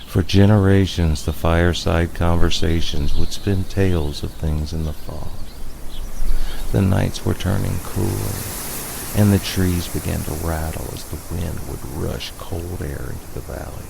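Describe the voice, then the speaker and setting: A man narrating a scripted spooky tale in a measured, dramatic storyteller's manner. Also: low-pitched, steady tone, vocal fry, noisy background. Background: music.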